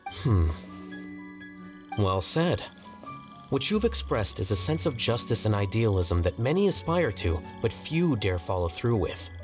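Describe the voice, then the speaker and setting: An actor playing a cool, blunt scribe in a video game, speaking in a measured, dry, formal way. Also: male voice, on the low side. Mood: dryly critical. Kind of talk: English video game voice acting.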